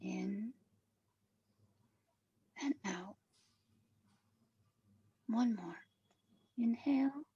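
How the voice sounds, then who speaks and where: A woman narrating a guided meditation with soothing, measured instructions. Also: on the high side, warm tone, husky, dry recording, dull audio.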